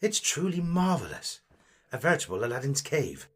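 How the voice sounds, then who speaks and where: A man's voice: mid-range voice, sing-song, moderate pace, fairly bright tone, slightly rough. A man playing a small, fussy, shrew-like alien actor in an audio drama, declaiming in a florid, plummy, theatrical manner. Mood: fussy, effusive. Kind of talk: scripted audio drama acting.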